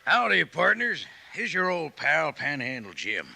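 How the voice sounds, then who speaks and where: A man playing a grizzled cowboy pitchman in a 1950s radio spot, with a gravelly, bright, lively drawl. The recording is dry and close. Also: a little slow, no echo, soft background music, full character voice. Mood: hearty. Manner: folksy cowboy drawl, hearty. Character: folksy old-timer cowboy pitchman.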